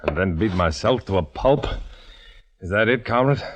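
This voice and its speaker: A man's voice: on the low side, animated, normal speed. An actor playing a tough undercover agent who tells his own story in hard-boiled first-person narration, in a 1950s radio drama. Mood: defensive.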